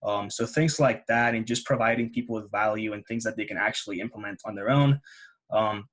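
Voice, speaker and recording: A man's voice: fairly low pitch, fairly quick, a bit bright. A man hosting a casual podcast interview, talking with enthusiasm and giving advice. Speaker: podcast host.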